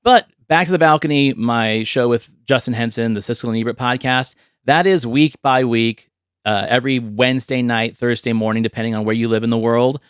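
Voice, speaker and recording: A man talks as a podcast host in a casual, explanatory style. His voice sits at an ordinary male pitch and is recorded very dry, with little room around it. The delivery is chatty and conversational. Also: clean tone, no room sound.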